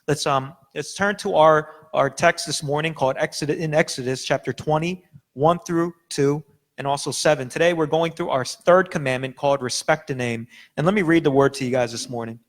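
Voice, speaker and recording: A young adult man preaching a sermon as a pastor, talking casually with fillers and restarts. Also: medium pitch. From United States. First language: Korean. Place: church sanctuary.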